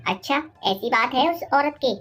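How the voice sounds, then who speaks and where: A woman voicing a bossy, scheming middle-aged mother-in-law who scolds, in an animated moral story. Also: high voice, normal speed. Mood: curious, chatty. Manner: bossy, scolding, name-calling.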